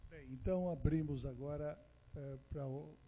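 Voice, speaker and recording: A man's voice: a little high, moderate pace, background noise. A man moderating the question session, giving brief, formal instructions to the audience. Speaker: event moderator.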